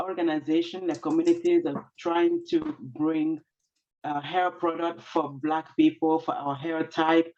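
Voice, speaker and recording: A woman's voice: deep voice, little pitch movement, a little slow, dark tone. A woman, a small business owner, introducing herself earnestly on a video call with many fillers and restarts. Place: at her workplace. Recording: dull lo-fi video call audio.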